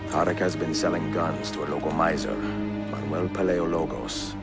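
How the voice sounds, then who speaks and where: An actor playing a composed, middle-aged Italian master assassin in a video game, speaking in a measured, formal way with an Italian accent. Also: male voice, a bass voice, fairly even intonation, over loud music. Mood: focused. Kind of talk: video game cutscene acting.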